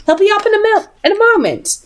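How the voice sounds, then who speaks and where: A woman voicing a composed lady of the house in a storybook, measured in manner. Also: very high voice, big pitch swings, voice pushed up.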